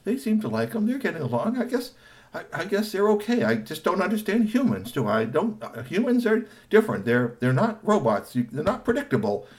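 A man's voice: sits high, very animated, fairly quick, a little mellow, voice pushed up. A man playing a puzzled little robot. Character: small polite robot, puzzled and curious.

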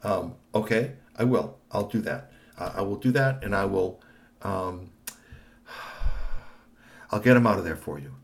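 A man's voice: low-pitched, lively intonation, unhurried, vocal fry, long pauses, exaggerated voice. A man voicing a flustered, helpful young man who hesitates and restarts. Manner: hesitant, full of ums and restarts.

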